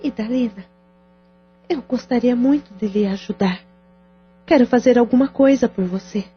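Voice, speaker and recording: An actress playing a caring, sensible sister in an audio drama, speaking in a calm and reassuring way. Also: female voice, fairly high pitch, moderate pace, husky. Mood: gentle. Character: caring, sensible sister who supports her brother. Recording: dull lo-fi audio with faint music.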